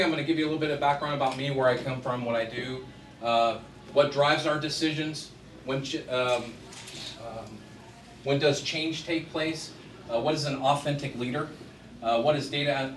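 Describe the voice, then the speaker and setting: A middle-aged man from Washington State giving a conversational business talk to an audience, with a deep voice and many fillers. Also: normal speed, a bit bright. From Washington State, US West Coast. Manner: conversational, chatty, frequent fillers. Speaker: guest speaker. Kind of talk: casual business presentation. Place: event room with audience. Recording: echoey room, far from the mic, faint noise.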